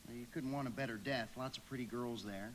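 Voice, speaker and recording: An actor playing a cheerful, breezy, hurried younger brother in a live radio drama. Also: male voice, mid-range voice, close to an everyday voice. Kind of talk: live radio play acting. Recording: reverberant hall, clearly noisy.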